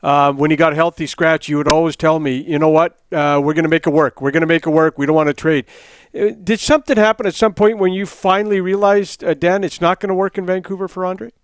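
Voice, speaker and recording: A man's voice: a little high, steady tone, quick pace, clear, resonant tone, no room sound, clean studio sound. A man co-hosting a sports talk show and pressing his guest with eager questions. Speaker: radio co-host.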